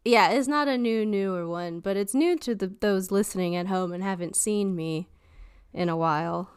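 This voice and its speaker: A woman's voice: medium pitch, moderate pace, clear, resonant tone, no room sound, clean studio sound. A woman chatting casually as a co-host on a podcast. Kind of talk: casual chat.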